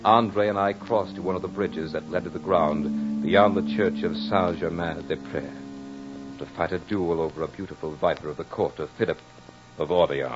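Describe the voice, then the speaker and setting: An actor playing a brooding aristocratic courtier in an old radio drama, telling his tale in a measured, florid, dramatic way. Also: rumbling low. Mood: grim. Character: brooding aristocratic French courtier recounting a fatal duel. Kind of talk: 1940s radio drama monologue. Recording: muffled old radio recording.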